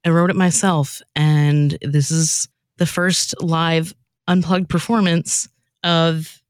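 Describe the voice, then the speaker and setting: A woman's voice: low-pitched, level tone, slow, dry recording, clean studio sound. A woman hosting in a casual, rambling, self-effacing way, chatting with her fellow performers. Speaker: host and writer of the radio play.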